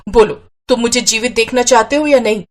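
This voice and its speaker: A woman's voice: fairly high pitch, a little fast, natural voice. A woman acting a scolding, stubborn wife. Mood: angry, threatening. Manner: sharp complaining. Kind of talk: Hindi moral story acting.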